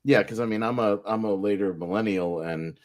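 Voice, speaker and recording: A man's voice: on the low side, brisk, a little mellow. A man around forty chatting casually on a movie watchalong, rambling and circling back through his points. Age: 40s. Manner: chatty, rambling, with many fillers and restarts. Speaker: co-host.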